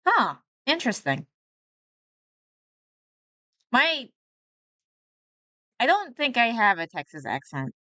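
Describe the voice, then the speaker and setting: A woman's voice: fairly high pitch, huge pitch swings, slow, pauses often, bright, forceful tone, no echo. A young woman streaming live, chatting casually about herself and reacting to a video, often laughing and poking fun at herself.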